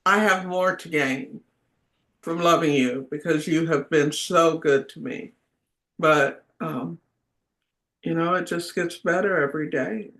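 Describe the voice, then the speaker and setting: A woman hosting a video call meeting, greeting people warmly and talking in a rambling, affectionate way. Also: low voice, unhurried. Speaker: meeting host.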